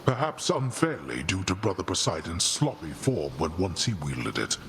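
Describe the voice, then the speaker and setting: A man playing a stern, haughty god in a video game, with formal, lofty and deliberate speech. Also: low-pitched, very animated, fairly bright tone, a little hiss or hum, full character voice. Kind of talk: video game character dialogue.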